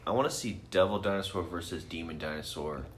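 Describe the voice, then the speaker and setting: A man's voice: deep voice, fast talker, background noise. A man co-hosting a podcast, chatting casually with strong opinions and salty, slangy language. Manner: profane, slangy. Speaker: podcast co-host.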